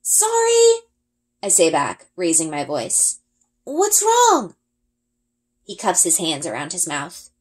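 A woman reading a first-person children's adventure story aloud in an expressive storytelling style. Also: low-pitched, normal speed, few pauses. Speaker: read-aloud reader, elementary school.

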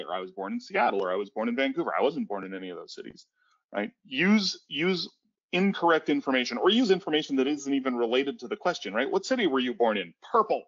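A man's voice: mid-range voice, fairly bright tone. Man rambling through a webinar, muffled. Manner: rambling with frequent restarts and fillers. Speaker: webinar presenter on cybersecurity. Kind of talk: casual conversational webinar talk. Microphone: headset on a video call. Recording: dull lo-fi webinar audio.

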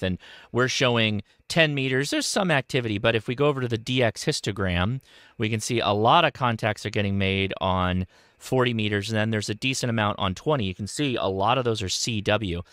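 A man's voice: fairly low pitch, fairly quick, fairly bright tone, no room sound. An American man in his forties talking casually and enthusiastically into a close studio microphone, as a hobby radio operator explaining things. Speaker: host, ham radio operator. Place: indoor home radio desk. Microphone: close boom studio microphone.

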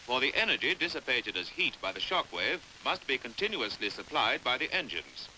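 A British man narrating a 1950s educational film in a precise, measured way, on a hissy old soundtrack. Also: mid-range voice, sing-song, sharp tone, rough recording.

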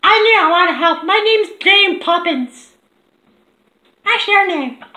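A man's voice: very high-pitched, lively intonation, takes it slowly, sharp tone, voice pushed up, heavily acted. A man voicing a ditzy young woman on a prank phone call, with mock-innocent, pestering, repetitive questions. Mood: mock-friendly. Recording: roomy echoing indoor recording.

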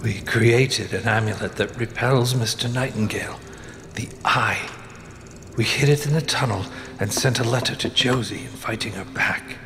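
An actor plays a solemn man telling of dark events in a mystery game. His pitch sits in the middle and his delivery is measured and scripted. There is clear noise and ambience around the voice. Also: natural voice. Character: grave man recounting a failed escape from darkness.

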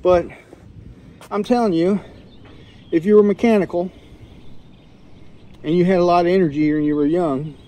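A man's voice: high voice, fairly even intonation, moderate pace, pauses often, warm tone. A middle-aged man giving a casual, rambling walkthrough of old boats, talking outdoors into a handheld camera. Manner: plainspoken, rambling, trails off and restarts. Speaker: sailing vlogger. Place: outdoors in a boatyard, walking around boats. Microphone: handheld camera, selfie framing.

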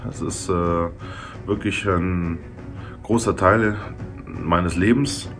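A man from Germany, a reenactment commander, speaking in a measured, hesitant way in a documentary interview indoors. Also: low-pitched, fairly even intonation, soft background music. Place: indoors by a brick wall.

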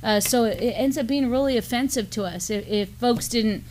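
A woman's voice: fairly high pitch, moderate pace, darkish tone. A woman in her fifties speaking conversationally as co-host of a news discussion. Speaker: co-host of a Native news live stream. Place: indoor studio at a desk with a backdrop.

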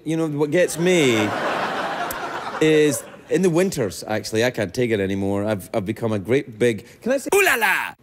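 A man's voice: medium pitch, big pitch swings, normal speed. A man in his fifties hosting a late-night talk show, teasing and playful, often laughing. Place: TV talk show studio with audience. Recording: loud studio audience and noise.

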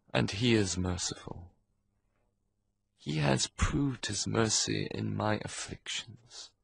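Narrator doing a dying clergyman, low gravelly whisper, slow, dry close sound. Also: no room sound, heavily acted. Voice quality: gravelly, husky, fading. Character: dying male minister, weak and serene. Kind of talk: audiobook character voice.

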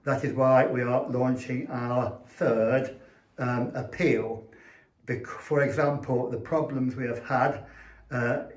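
Older English male, priest, slow and halting delivery, recorded in an echoey small room. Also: mid-range voice, darkish tone. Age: older, around 70s. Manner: halting, measured, earnest. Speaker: Orthodox parish priest. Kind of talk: unscripted fundraising address to camera. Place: small indoor chapel room. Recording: roomy echo from camera mic.

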